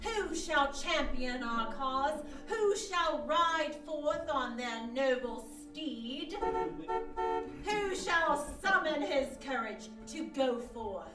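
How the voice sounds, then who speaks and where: A woman's voice: high voice, lively intonation, slow pace. An actress playing a pompous queen of reenactors in a radio comedy, proclaiming grandly in theatrical style. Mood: grand, commanding. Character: pompous imperious mock-medieval queen of reenactors. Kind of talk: old-time radio comedy acting before a live audience. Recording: roomy live stage, distant mic, faint music.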